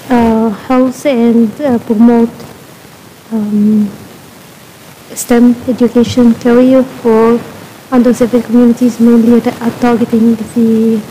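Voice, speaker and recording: A woman's voice: fairly high pitch, dark tone, slight background noise, rough recording. A woman from Egypt introducing herself at a community board meeting, speaking at a podium mic with many hesitations. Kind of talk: public meeting remarks. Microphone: podium microphone.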